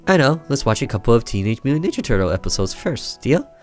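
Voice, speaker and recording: A man's voice: mid-range voice, very animated, quick pace, faint music behind, voice pushed up, lightly acted. A man voicing a nervous young boy who coaxes his sister in a visual novel game. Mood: bargaining. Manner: coaxing, hesitant. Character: nervous, coaxing older brother, a young boy.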